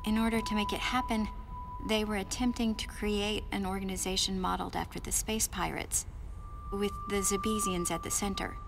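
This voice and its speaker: An actress playing a guilt-laden female scientist in a video game, explaining in a measured, confessional way. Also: mid-range voice, steady tone, vocal fry, noisy background, close to an everyday voice. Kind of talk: video game cutscene voice acting. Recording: game audio with music underneath.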